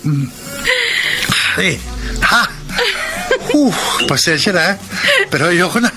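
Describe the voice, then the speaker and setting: A man's voice: sits high, expressive, slow, exaggerated voice. An actor playing an earnest, lovestruck young office man in a romantic radio drama. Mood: reluctant. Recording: radio drama with loud music bed.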